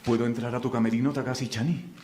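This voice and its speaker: An echoey, noisy vintage radio recording presents an actor as a mysterious male adventurer. His voice sits at a medium pitch, courteous and formal, with the measured drama of an old serial. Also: flat delivery, lightly acted. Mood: mysterious, discreet. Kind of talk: old Latin American radio serial acting.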